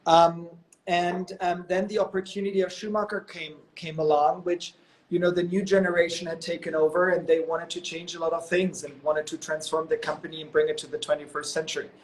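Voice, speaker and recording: A man's voice: fairly high pitch, flat delivery, fairly quick, poor audio. Chatty man in his thirties. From Germany. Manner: chatty and storytelling, lots of "you know" and "um". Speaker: guest, company executive. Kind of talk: casual interview on a live video call. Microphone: video call.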